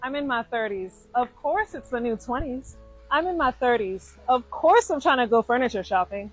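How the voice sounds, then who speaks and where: A woman's voice: fairly high pitch, animated, moderate pace, soft background music, low-quality recording. A woman in her thirties delivering playful, punchy one-liners in a comedic skit. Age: 30s. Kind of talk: comedic social media skit.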